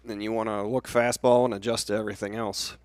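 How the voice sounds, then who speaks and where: A man's voice: lowish voice, little pitch movement, fast, dry recording. A man with an American accent giving conversational baseball analysis as a colour commentator.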